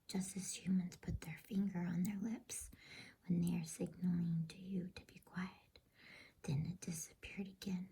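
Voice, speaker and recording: A woman whispering softly and soothingly in an unhurried ASMR style, as the host of a bedtime reading. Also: sits low. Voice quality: breathy whisper.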